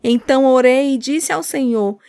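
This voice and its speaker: A woman's voice: high voice, normal speed, mellow, clean tone, no echo. A woman playing a devout, loving mother in a radio drama, speaking earnestly and tenderly. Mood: tender, solemn. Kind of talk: scripted radio drama dialogue.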